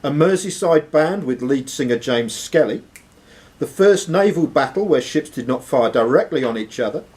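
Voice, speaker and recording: Man, quiz host, slightly high voice, animated range, live question reading. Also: moderate pace. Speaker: quizmaster.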